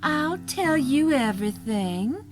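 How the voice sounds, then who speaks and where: A woman playing a scheming, seductive plotter in a soap-opera audio drama, with a sly, teasing manner and unhurried delivery. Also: high-pitched, very animated, heavily acted. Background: music.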